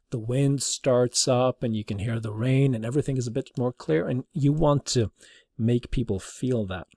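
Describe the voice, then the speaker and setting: A man giving an informal tutorial as a teacher, explaining in a halting way. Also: medium pitch, vocal fry, a little mellow, no room sound, clean studio sound. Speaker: teacher demonstrating audio production.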